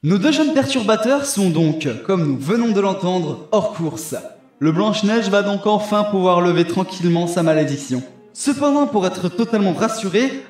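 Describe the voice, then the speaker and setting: Casting: male narrator, fairly high voice, roomy recording, soft music bed. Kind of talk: scripted narration.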